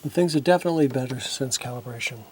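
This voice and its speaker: A man talking casually through his repair work at a home workbench, thinking aloud and halting with fillers, recorded on a camera microphone. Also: mid-range voice, loud music behind the voice. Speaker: hobbyist synth repairer. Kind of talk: casual narration while working. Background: synthesizer being played.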